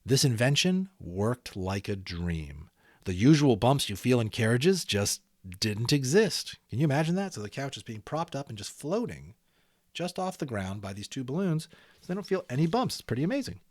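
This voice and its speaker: Guy reading a kids book out loud, really lively up and down, dry close sound. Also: mid-range voice, moderate pace, no echo. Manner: animated, dramatic emphasis, chatty asides to listeners. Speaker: audiobook narrator. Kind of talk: expressive children's storybook reading with asides.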